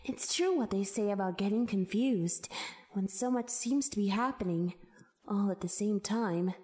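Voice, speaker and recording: A woman in an amateur anime fan dub voicing a dazed, spacey young woman who talks in a slow, drawn-out, distracted way. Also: medium pitch, mellow, natural voice.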